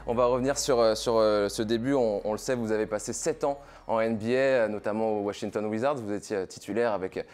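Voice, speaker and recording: A man's voice: fairly low pitch, quick pace, hardly any pauses, a little mellow. A man hosting a sports talk show, presenting and interviewing conversationally in short, hesitant bursts. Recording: music under the voice. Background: music.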